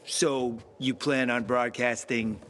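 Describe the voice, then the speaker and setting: A man's voice: mid-range voice, flat delivery, takes it slowly, a bit bright, a little hiss or hum. An actor playing a sardonic male companion who mocks everyone around him. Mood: sarcastic. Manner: sarcastic, mocking. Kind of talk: video game cutscene.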